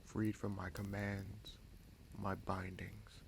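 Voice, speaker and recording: A man playing a vengeful, brooding bastard prince and sorcerer in a fantasy audio roleplay, speaking in a measured, solemn, halting way. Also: deep voice, flat delivery, vocal fry, a little hiss or hum, exaggerated voice. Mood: solemn, earnest.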